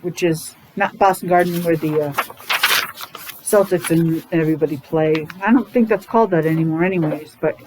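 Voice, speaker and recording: A woman's voice: low voice, moderate pace. Woman teacher reading aloud, faint background noise. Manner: simple, repetitive explanations in short phrases. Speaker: preschool or special-needs teacher. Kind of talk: read-aloud to young children with explanatory asides.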